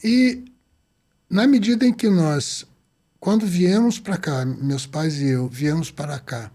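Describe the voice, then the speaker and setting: A man in his seventies from the Azores, a doctor telling his story in an interview, lively and acting out the dialogue he recalls. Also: a little high, slow pace. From Azores, Portugal. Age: 70s. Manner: lively, acts out quoted dialogue. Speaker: doctor guest.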